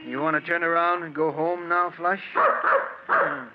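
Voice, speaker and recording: Weary, earnest henpecked husband. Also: male voice, fairly high pitch, measured pace. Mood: affectionate. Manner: earnest, melodramatic. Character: weary, henpecked husband, a mild bookkeeper with dark secrets. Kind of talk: 1940s radio drama acting. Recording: muffled old radio recording with faint noise.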